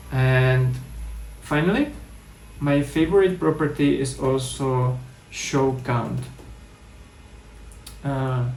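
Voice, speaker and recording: Gravelly Czech-accented man explaining code. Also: mid-range voice, a little mellow, echoey room, slight background noise, rough recording. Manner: explanatory, methodical, with restarts. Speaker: conference speaker. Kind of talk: technical coding talk with live demo.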